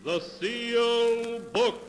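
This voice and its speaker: A man's voice: very high voice, drawn-out words, over loud music. A man narrating an old-time radio mystery show in a solemn, ominous, dramatic manner. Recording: reverberant old radio with faint noise.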